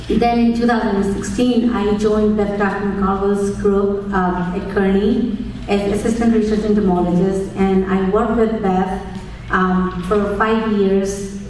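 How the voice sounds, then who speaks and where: A woman presents at a conference in a measured, friendly way, pausing often with "um". Her voice is at a middle pitch and is picked up from some distance away. The hall is very echoey, with faint noise underneath. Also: dark tone. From Nepal. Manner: measured, many "um" pauses, friendly and explanatory. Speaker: extension entomologist speaking at a conference.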